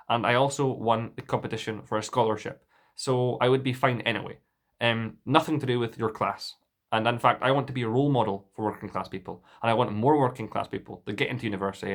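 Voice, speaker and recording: Young Scottish man ranting defensively. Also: medium pitch. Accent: Dundee Scottish, mild. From Scotland. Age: young adult. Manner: indignant and defensive, with frequent restarts. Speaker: vlogger. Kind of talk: casual monologue to camera.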